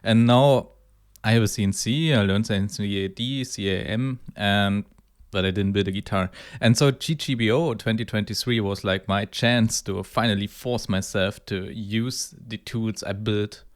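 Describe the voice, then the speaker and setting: A man's voice: lowish voice, normal speed. A man talking casually to camera about a personal hobby project, thinking aloud and correcting himself as he goes. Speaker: hobby guitar builder presenting own project.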